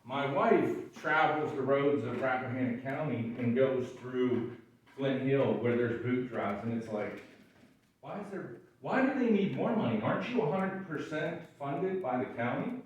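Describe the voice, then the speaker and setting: Man, gravelly, frustrated, emphatic, public comment, distant mic, echoey hall. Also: medium pitch, lively intonation, moderate pace, dull audio. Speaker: public commenter at county board meeting. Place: large echoey meeting room. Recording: echoey room, voice far from mic.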